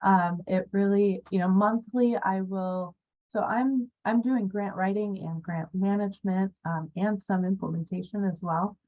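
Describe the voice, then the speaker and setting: A woman's voice: mid-range voice, unhurried. A woman with a cold, sounding sniffly, speaking as a panelist over a video call with many fillers. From Florida. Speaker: grant manager, panelist. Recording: muffled video call audio.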